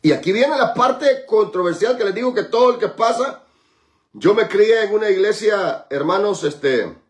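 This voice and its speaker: Excited high-voiced male preacher. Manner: preaching with call-and-response, dramatic slowed emphasis on scripture. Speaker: pastor. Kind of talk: sermon.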